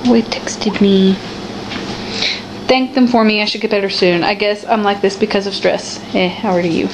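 A woman's voice: medium pitch, fairly even intonation, moderate pace, noisy background. A woman chatting casually and bluntly while cooking in a home kitchen, in character as a cosplayer.

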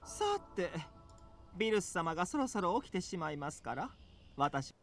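A man's voice: high voice, sing-song, fast talker, fairly bright tone, exaggerated voice. A male actor voicing a polite, serene, elegant angelic attendant in an anime, with a genteel singsong manner. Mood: politely breezy. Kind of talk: Japanese anime voice acting.

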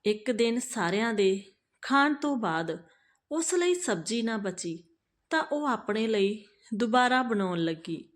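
A woman narrating a moral family story for her channel in a measured, earnest read-aloud manner. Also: fairly high pitch, animated.

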